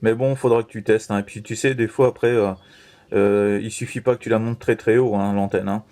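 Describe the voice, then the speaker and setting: A man chatting casually as a radio operator, halting and reassuring, often tagging his phrases. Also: lowish voice, steady tone, dark tone. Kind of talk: casual two-way radio chat.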